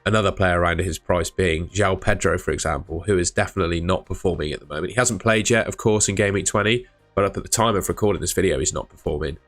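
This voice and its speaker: A man, hosting a fantasy football channel, talking casually to camera, his voice croaky from shouting, chatty and thinking aloud with asides. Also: deep voice, fast, few pauses, faint music behind.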